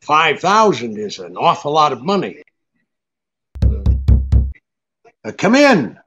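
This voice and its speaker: A man's voice: fairly high pitch, big pitch swings, normal speed, highs cut off, over music. A man playing a wry, tough, unflappable private detective in a 1940s-style radio drama, with an offhand way of questioning. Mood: wry, casual.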